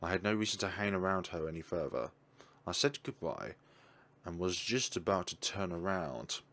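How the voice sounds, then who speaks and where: A man's voice: deep voice, animated, moderate pace, a bit bright. A man reading a visual novel's narration aloud in a tense, anxious manner.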